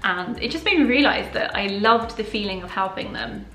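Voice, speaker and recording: A bright, clear-toned young woman whose first language is Polish chats openly through viewer questions. She talks to the camera from a living room, and the room's echo carries around her voice. Her delivery is casual and friendly. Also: medium pitch, expressive, normal speed. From Poland. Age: young adult. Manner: chatty and open, answering viewer questions. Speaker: personal finance YouTuber. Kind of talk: casual Q&A vlog. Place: living room at home, indoors.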